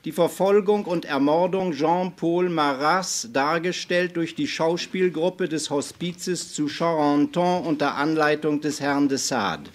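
A man's voice: on the high side, a little slow, few pauses. A man speaking live at a literary reading, introducing and reading a text aloud. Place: hall at a literary meeting. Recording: noisy archive live recording.

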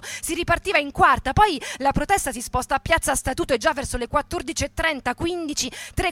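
An actress giving an emphatic, indignant radio theatre reading as a young militant recalling street riots. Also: female voice, high-pitched, fairly bright tone. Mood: urgent. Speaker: actress and narrator.

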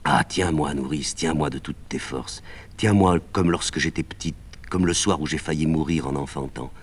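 A man reading a play aloud, voicing a proud, anguished sorceress woman in an impassioned, declamatory way that swings from cries to hushed pleading. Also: very deep voice, husky.

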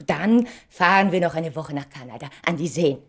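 Dreamy, effusive stage diva in love. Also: female voice, low voice, lively intonation, moderate pace, full character voice. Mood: bright planning. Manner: theatrical, dreamy, swinging from breathy murmurs to exclamations. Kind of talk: German radio play acting.

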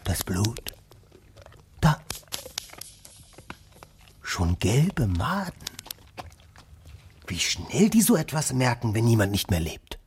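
A man's voice: medium pitch, big pitch swings, slow pace, long pauses, a little mellow, slight background noise. A man playing an eccentric, probing artist in a radio play. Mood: appalled fascination. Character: eccentric, curious male artist living in the house. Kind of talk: German radio play acting.

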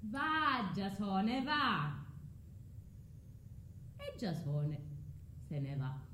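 A woman's voice: medium pitch, big pitch swings, very slow, pushed and bright, a little hiss or hum, heavily acted. An actress playing a scorned, vengeful sorceress mother, proud and anguished, declaiming in a theatrical radio drama. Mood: brooding, bitter. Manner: declamatory, swinging from brooding murmur to impassioned cries. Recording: roomy echo with music behind.

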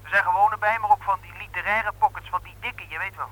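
An actor playing a breezy, persuasive young brother-in-law pitching a deal in a 1960s radio drama. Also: male voice, steady tone, quick pace, fairly bright tone, rough recording. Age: young adult. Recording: old radio play recording.

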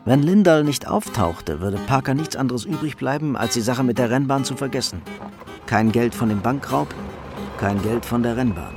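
A man's voice: mid-range voice, expressive, moderate pace, music in the background, lightly acted. A man narrating a crime radio drama in a sober, matter-of-fact literary storytelling manner.

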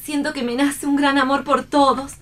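An actress playing a tender, idealistic heroine in a radio play, earnest and dramatic. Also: female voice, high voice, little pitch movement, moderate pace, a bit bright. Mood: hopeful. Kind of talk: theatrical radio play reading.

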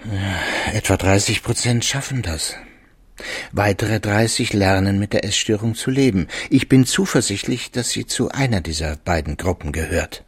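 A man playing a calm, measured clinic doctor in a radio drama, with a husky, gravelly voice and matter-of-fact delivery. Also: lowish voice, no room sound. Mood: measured, sober.

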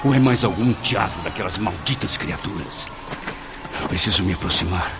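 Brazilian desperate damned soul in a radio play, very animated, loud music behind. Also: male voice, low-pitched, normal speed. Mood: anxious, wary. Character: tormented male suicide soul, desperate and pleading, in the netherworld. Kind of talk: radio drama acting and first-person narration.

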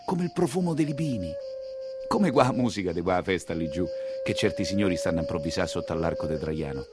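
A man's voice: deep voice, animated, fairly quick, over loud music. An actor playing an earthy, talkative 19th-century coachman with a Roman accent, telling his tale in a colloquial theatrical dialect monologue, exclaiming and confiding. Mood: hushed wonder. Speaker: stage and radio actor.

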